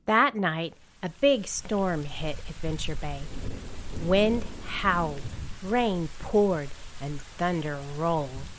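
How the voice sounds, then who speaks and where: A woman narrates a children's storybook. She reads slowly and deliberately, with very lively swings in pitch to keep the storytelling animated. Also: a little hiss or hum. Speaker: storybook reader. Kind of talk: scripted children's read-aloud narration.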